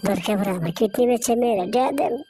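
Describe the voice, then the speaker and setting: Woman acting mellow, plaintive village wife. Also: mid-range voice, quick pace, a little hiss or hum, close to an everyday voice. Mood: pleading. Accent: rural Bangladeshi Bengali. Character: poor, self-sacrificing, worried village wife and mother. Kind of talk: amateur cartoon moral-story acting.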